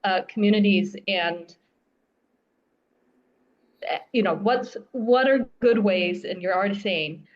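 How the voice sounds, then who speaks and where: A woman from the United States asks a question from the audience in a halting, thoughtful and thankful way. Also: mid-range voice, a little hiss or hum. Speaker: audience member asking a question. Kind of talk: casual question from the floor. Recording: dull lo-fi audio.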